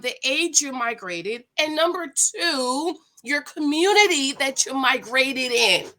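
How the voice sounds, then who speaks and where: Loud, bright-voiced Jamaican-American woman vlogger. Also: high-pitched. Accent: Jamaican-American, with a Southern US touch. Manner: loud, chatty, animated, many fillers. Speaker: reality TV recap vlogger. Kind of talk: casual talk to camera. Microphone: computer built-in mic, no microphone.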